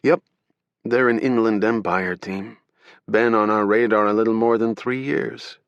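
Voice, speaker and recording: رجل يؤدي عميلا فيدراليا ساخرا كثير المزاح، بصوت أعلى نبرة من صوته العادي، وتسجيل جاف بلا صدى. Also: male voice, on the low side, steady tone, a little slow, gravelly, no echo, dull audio, voice pushed up. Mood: businesslike. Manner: sardonic, joking. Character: wisecracking male federal agent, buzz cut.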